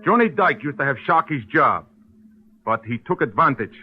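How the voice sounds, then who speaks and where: Man acting a gruff, blunt dockside boss in old radio drama. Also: mid-range voice, sing-song, moderate pace, soft background music, close to an everyday voice. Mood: gruff, suspicious. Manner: blunt, clipped, broken-English phrasing. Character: heavyset, hot-tempered dockside boss, gruff and suspicious. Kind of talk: 1940s-50s radio crime drama acting. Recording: dull vintage radio recording.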